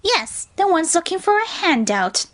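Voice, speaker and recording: A woman's voice: very high voice, very animated, a little slow, full character voice. A woman voicing a haughty, tyrannical pony princess with a pompous, demanding manner in a dramatic story reading. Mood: petulant, demanding. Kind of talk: dramatic fan fiction reading.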